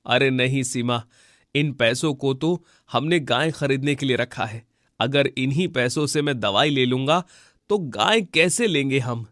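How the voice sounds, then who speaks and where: A man voicing a poor, humble village labourer husband in a cartoon story, earnest and gentle. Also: mid-range voice, a little slow, no echo, clean studio sound, lightly acted. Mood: apologetic, worried. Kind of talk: Hindi cartoon moral-story dubbing.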